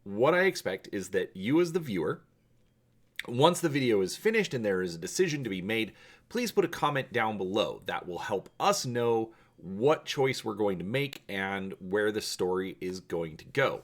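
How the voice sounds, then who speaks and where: A man's voice: fairly low pitch, sing-song, normal speed. A man hosting a story channel and reading an adventure aloud in a dramatic storytelling style. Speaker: host and narrator of a story channel.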